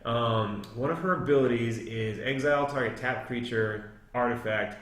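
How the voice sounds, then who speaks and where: A man's voice: medium pitch, moderate pace, fairly bright tone. A man casually explaining a fantasy story in a rambling, conversational way. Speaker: podcast co-host explaining game lore. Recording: echoey room.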